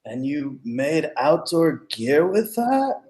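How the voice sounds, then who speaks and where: Male actor, middle-aged, as pedantic gamer sidekick in radio comedy, nerdy, with very lively pitch movement. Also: slow pace. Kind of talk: comedic radio play acting over video call. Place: room at home, on a video call.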